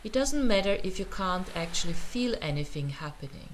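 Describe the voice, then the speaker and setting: Woman, meditation teacher, lowish voice, soothing guided relaxation, gentle pauses, noticeable ambient noise. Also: lively intonation.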